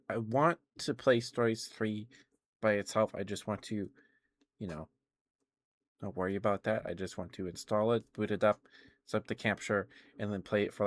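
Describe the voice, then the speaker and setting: Low-pitched man rambling on an ASMR stream. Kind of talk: casual rambling ASMR livestream chat.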